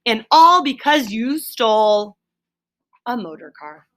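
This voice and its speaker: A woman's voice: a little high, big pitch swings, fairly bright tone, full character voice. Woman playing a stern, exasperated water rat. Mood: scolding. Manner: scolding, emphatic, drawn-out key words. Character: stern, exasperated male water rat, Toad's loyal friend.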